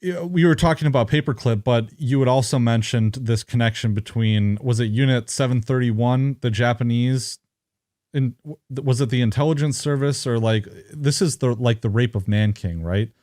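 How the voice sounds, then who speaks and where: A man's voice: medium pitch, moderate pace, darkish tone, no echo, clean studio sound. A man hosting a podcast, reading sponsor plugs in a casual way with some filler words, then asking his guest questions. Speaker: podcast host.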